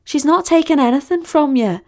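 A woman's voice: high-pitched, a little fast, darkish tone, voice pushed up. A woman voicing a sensible, embarrassed young woman in a storybook, reasoning and pleading in a weary manner. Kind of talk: acted dialogue in a storybook reading.

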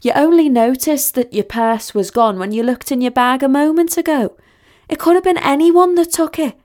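A woman's voice: high voice, moderate pace, a little mellow, pitched above the performer's own voice, lightly acted. A woman voicing a sensible, embarrassed young woman in a storybook, reasoning and pleading in a weary manner. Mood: weary, firm. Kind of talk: acted dialogue in a storybook reading.

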